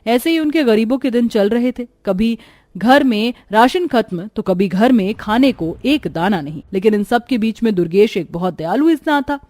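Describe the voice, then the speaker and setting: A female narrator tells a scripted folk story. Her voice sits on the high side and is recorded close and dry, with hardly any room sound. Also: lively intonation, moderate pace, darkish tone, dry recording.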